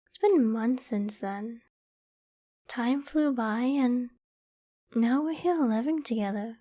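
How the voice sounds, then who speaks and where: A woman playing a shy, loving, easily flustered young girlfriend in an intimate ASMR bedtime roleplay, speaking tenderly and hesitantly. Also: a little high. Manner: tender, hesitant, soft-spoken with stammers.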